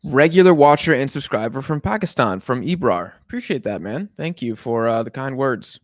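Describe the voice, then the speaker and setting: A man's voice: mid-range voice, sing-song, moderate pace, no echo, studio quality. An American man casually hosting a live football watch-along from his couch at home, rambling and answering chat comments as they come in. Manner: laid-back, rambling, answers chat on the fly.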